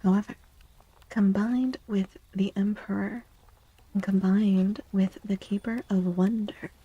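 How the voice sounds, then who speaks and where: Slow, breathy, soft-spoken woman. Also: medium pitch, steady tone, dry recording, dull audio. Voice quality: soft, breathy, often near a whisper. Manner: gentle, slow, deliberate with pauses and repeated phrases. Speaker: streamer, tarot reader. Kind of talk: soft-spoken ASMR tarot reading.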